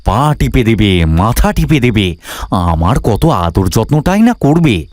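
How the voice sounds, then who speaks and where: A man's voice: lowish voice, sing-song, slow, darkish tone, dry recording. A man voicing a crafty, earnest married village grandfather in a cartoon, with theatrical delivery. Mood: feigned grumbling. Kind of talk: Bengali cartoon voice acting.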